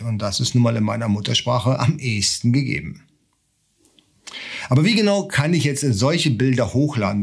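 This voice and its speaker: A man's voice: mid-range voice, creaky, breathy edge. A man explaining a screen-share tutorial in German, talking the viewer through steps with rhetorical questions. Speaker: online seller tutor.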